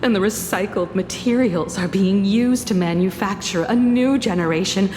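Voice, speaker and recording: A woman's voice: medium pitch, normal speed, a little mellow, long reverb tail, slight background noise, lightly acted. An actress playing an earnest young woman explaining bad news in a video game. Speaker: game character.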